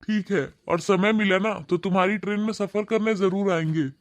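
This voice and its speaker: A man's voice: high voice, steady tone, moderate pace, no room sound, close to an everyday voice. Vivek, a man voicing a kind, preachy husband. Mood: earnest. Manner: earnest, lecturing. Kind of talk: cartoon voice acting.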